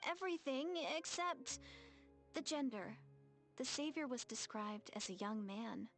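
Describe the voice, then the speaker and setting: Earnest, high-voiced young villager. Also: female voice, unhurried, fairly bright tone, faint music behind. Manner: earnest, hesitant. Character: earnest, devout young village woman seeking a prophesied savior. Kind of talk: video game voice acting.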